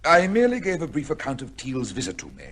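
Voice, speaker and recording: An actor playing a proud, imperious judge in an old radio drama, husky and formal in speech. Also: male voice, medium pitch, wildly swooping pitch, a bit bright, heavily acted. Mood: defensive. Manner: imperious, formal, quick to take offence. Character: proud, indignant High Court judge under suspicion.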